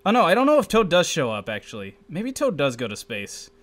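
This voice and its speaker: Animated, high-pitched male podcast host. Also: a little fast. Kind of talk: casual comedic reading and chat.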